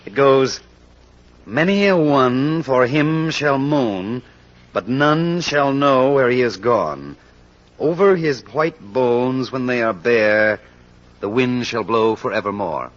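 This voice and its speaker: An actor playing a shrewd, persistent American detective in an old radio crime drama, probing and assured. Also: male voice, mid-range voice, animated, slow. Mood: excited realization. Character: shrewd, persistent male amateur sleuth questioning suspects. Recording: dull lo-fi old radio recording.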